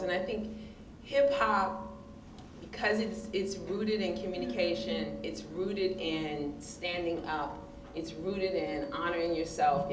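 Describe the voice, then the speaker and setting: A woman with a big voice answering on a discussion panel, expressive and emphatic, often laughing. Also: lowish voice. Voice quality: big, loud voice. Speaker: panelist, hip hop poet. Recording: distant mic in echoey room with noise.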